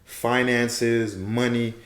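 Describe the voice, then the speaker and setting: A young man from Haiti, a guest on a podcast, talking in a relaxed, affirming way and repeating his key phrases. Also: mid-range voice, drawn-out words. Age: young adult. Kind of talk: casual interview chat. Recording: roomy echo.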